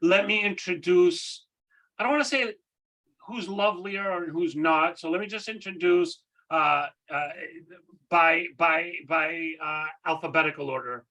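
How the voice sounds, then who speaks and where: A man hosts a podcast in a high, bright voice. He sounds playful and upbeat as he introduces the show. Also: measured pace, poor audio. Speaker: podcast host. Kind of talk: casual podcast hosting.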